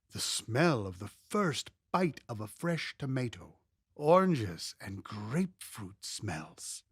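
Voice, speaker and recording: Male audiobook reader for a memoir: lively, expressive melody, savors each descriptive phrase, very dry studio-like sound. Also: medium pitch, dry recording. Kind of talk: expressive scripted reading of a memoir.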